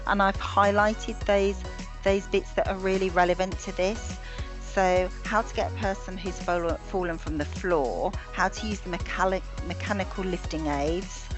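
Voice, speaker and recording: A British woman with a slightly low voice talks an online audience through her slides. She is steady but halting, with plenty of ums and restarts, and her voice is starting to go. Faint music sits behind her on the call. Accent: British English. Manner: measured, with frequent ums and restarts. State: losing her voice. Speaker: webinar presenter. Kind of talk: presenting slides in a webinar.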